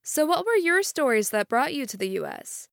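A woman's voice: high-pitched, very animated, moderate pace, creaky, no room sound, clean studio sound. A woman hosting a company podcast, reading an upbeat scripted intro and then questioning her guests with enthusiasm. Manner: upbeat, enthusiastic, slightly sing-song delivery. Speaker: podcast host.

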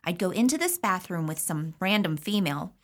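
A woman's voice: fairly low pitch, sing-song, brisk. An actress playing a woman who tells a chatty, wry story about probation. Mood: amused. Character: woman recalling probation drug tests. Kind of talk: audio drama acting.

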